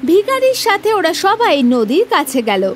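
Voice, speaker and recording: A woman's voice: very high-pitched, very animated, normal speed, soft background music. A woman narrating a scripted children's story as the storyteller.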